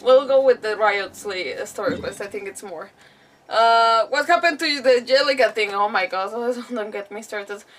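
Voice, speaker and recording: A woman game streamer chatting casually to her viewers in an animated, rambling, self-deprecating way. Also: mid-range voice, moderate pace. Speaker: game livestreamer. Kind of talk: casual livestream chat to viewers. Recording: distant mic, music playing behind. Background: background music.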